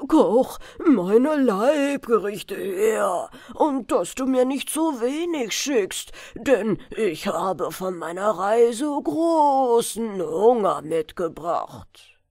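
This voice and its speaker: A woman's voice: mid-range voice, very animated, dry recording, clean studio sound, heavily acted. A woman voicing a fat, spoiled young prince in a fairy tale, demanding and drawling. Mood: demanding, hungry. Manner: loud, drawn-out, demanding.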